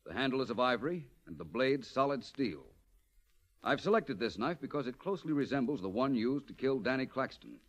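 An actor playing an urbane, self-assured gentleman detective in a 1940s radio mystery, polished and wry. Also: mid-range voice, expressive, fairly quick. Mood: theatrical, deliberate.

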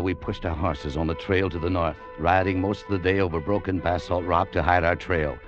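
A man's voice: low-pitched, natural voice. An actor playing a tough, wary American adventurer who tells his tale in a clipped, colloquial way in an old radio drama. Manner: clipped, hard-boiled, colloquial. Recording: muffled old radio broadcast, music behind.

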